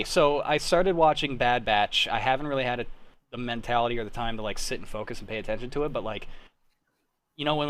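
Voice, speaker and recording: A man, chatting casually as a livestream host. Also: medium pitch. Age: 30s. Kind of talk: casual fan chat.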